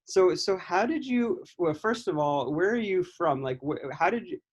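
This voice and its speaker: A man's voice: high-pitched, expressive, normal speed. A man interviewing casually over a video call, often repeating and rephrasing himself mid-sentence. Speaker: podcast interviewer. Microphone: video call microphone.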